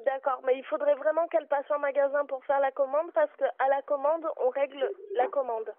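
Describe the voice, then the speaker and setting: A woman's voice: high voice, fairly even intonation, brisk, dry recording. A woman working as a bookshop clerk, answering a customer call in a polite but firm, patient way. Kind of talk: customer service phone call. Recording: tinny phone line.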